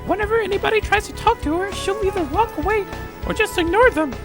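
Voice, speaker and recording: A man voicing a teasing, gossipy high school classmate in a game story. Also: fast. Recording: music behind the voice.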